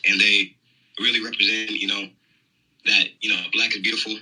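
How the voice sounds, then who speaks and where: Young male caller, bright rough voice, fast and full of fillers. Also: fairly low pitch, little pitch movement, rough recording. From United States. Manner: hesitant, many "you know" and "um" fillers. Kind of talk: phone-in conversation.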